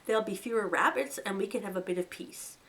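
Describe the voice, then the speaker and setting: A woman's voice: medium pitch, quick pace, a bit bright, lightly acted. A woman voicing a protective young male rabbit who speaks firmly and reassuringly. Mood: puzzled. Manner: firm and reassuring.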